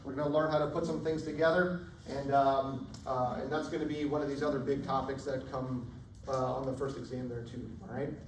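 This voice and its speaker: A man teaching a college chemistry class, casual and chatty, often checking in with "right?", his voice going hoarse. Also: medium pitch, fairly even intonation, fairly quick. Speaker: chemistry instructor. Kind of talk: classroom lecture. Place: lecture hall. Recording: echoey lecture hall, distant mic, faint room noise.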